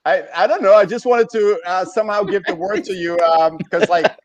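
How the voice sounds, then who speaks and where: A man hosting a casual panel over a video call, with a halting, hesitant delivery. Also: sits high, over a noisy crowd. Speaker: host.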